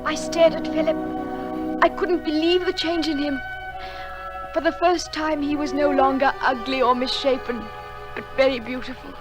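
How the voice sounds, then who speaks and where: A woman playing an earnest, tender young woman who narrates a remembered story in a radio drama, measured and full of feeling. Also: high-pitched, fairly even intonation, music in the background, lightly acted. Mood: wistful narrating.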